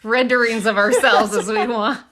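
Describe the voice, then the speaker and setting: A woman's voice: fairly high pitch, steady tone, moderate pace, fairly bright tone. A woman co-hosting a podcast, chatting casually and reacting playfully and expressively to her partner's story. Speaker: podcast co-host.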